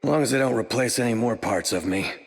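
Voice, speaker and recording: A man's voice: mid-range voice, quick pace, vocal fry, big-room sound, close to an everyday voice. A man voicing a wry, battle-worn soldier hero with a metal arm in a video game, delivering dry quips.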